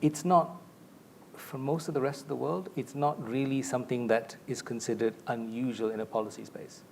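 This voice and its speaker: A man's voice: mid-range voice, fairly even intonation, moderate pace, creaky, a little mellow, a little hiss or hum. A middle-aged man from Malaysia speaking as a panelist on a conference stage, explaining points at length through a lapel mic. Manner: expansive, explanatory, gestures a lot while talking. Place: large stage with a curtain backdrop.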